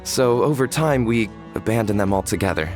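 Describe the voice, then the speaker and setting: A man's voice: fairly low pitch, expressive, a little fast, darkish tone, lightly acted. An actor playing a nervous, humble male villager in a video game, respectful and apologetic. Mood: humble, explanatory. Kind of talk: English video game voice acting. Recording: faint background music under the voice.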